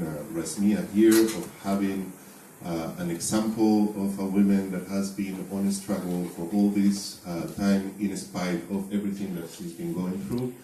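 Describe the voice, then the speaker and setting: A man with a low, mellow voice opens an evening event, thanking hosts and partners. He hesitates often, pausing on fillers. He is recorded from a distance in a roomy hall with a faint hum behind. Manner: hesitant, many fillers and restarts. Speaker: event organizer, host. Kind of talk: introductory remarks at an event. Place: event space before an audience. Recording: echoey hall, distant mic, faint noise.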